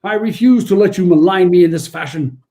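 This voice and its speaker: An actor playing a dignified, easily indignant old gentleman with a formal, scolding manner in a radio play. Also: high voice, fairly quick. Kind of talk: 1940s-style radio play acting.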